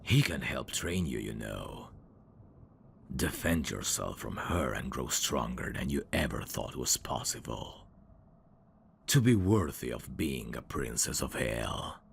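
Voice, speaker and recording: A man playing a suave, devoted demon servant of hell's royalty, speaking formally and deliberately in an ASMR audio drama. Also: very animated, slight background noise, full character voice. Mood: persuasive, reverent. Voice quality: gravelly, very deep. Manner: formal, courtly, slow and deliberate.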